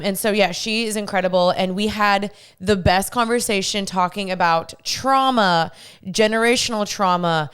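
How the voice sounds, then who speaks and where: A woman's voice: medium pitch, smooth. A woman in her twenties hosting a podcast, chatty and bubbly, with lots of "like" in her casual talk. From United States. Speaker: podcast host. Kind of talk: casual podcast chat and intro.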